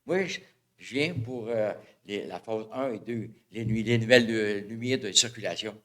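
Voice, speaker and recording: A man's voice: on the low side, normal speed, a bit bright. A man with a Québécois accent, a local citizen, making a rambling, hesitant comment at a city council question period. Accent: Québécois French. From Val-d'Or, Quebec. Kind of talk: casual public comment.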